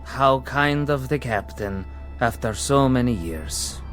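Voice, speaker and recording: An eerie former prisoner confronts his tormentor in old television drama style. He speaks very slowly and with wide melodic swings, mock-polite and insinuating, over faint music. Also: medium pitch, animated, a bit bright. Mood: cold politeness. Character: calm, accusing former prisoner, eerie and mocking. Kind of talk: vintage television drama acting.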